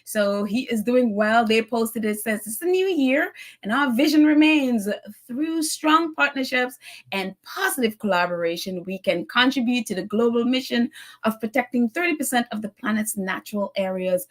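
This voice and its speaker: A woman's voice: a little high, moderate pace. A woman hosting a casual live stream, reading out viewer comments in a chatty, effusive way, laughing often and using plenty of fillers. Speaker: host of a royal news live stream.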